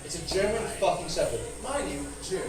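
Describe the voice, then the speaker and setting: Rambling male comic with soft R sounds. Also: sits high, lively intonation, fairly quick. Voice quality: speech impediment with soft R sounds. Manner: rambling, profane, self-deprecating. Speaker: stand-up comedian. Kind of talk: stand-up comedy at an open mic. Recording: echoey, noisy room, far from mic.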